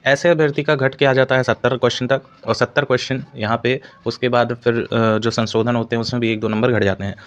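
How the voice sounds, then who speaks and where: A man's voice: mid-range voice, little pitch movement, fast talker, hardly any pauses, lo-fi sound. A man explaining exam information to students as a channel host, in an explanatory way with repeated stock phrases.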